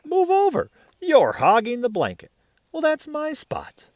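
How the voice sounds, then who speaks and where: A man's voice: extremely high pitch, wildly swooping pitch, a little slow, no echo, studio quality, voice pushed up, full character voice. A man voicing squabbling little sisters from a storybook, bickering and complaining. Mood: annoyed, bickering.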